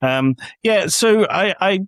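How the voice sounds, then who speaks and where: A man's voice: high voice, lively intonation, slow, no echo, clean studio sound. A man in a casual podcast discussion, giving blunt, critical opinions as a panellist.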